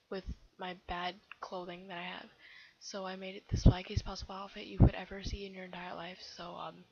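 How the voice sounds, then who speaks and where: A teenage girl with a somewhat low voice chatting casually over gameplay, rambling with lots of fillers. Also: darkish tone, husky. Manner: rambling, giggly, self-chattering with many fillers. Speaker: game video creator. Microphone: computer mic. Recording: dull lo-fi computer mic.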